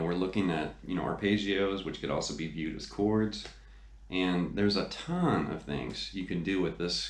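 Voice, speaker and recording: A middle-aged man giving a casual guitar lesson in a laid-back, conversational way. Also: deep voice. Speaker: guitar teacher. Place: small home studio room. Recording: roomy sound with loud guitar. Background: electric guitar playing.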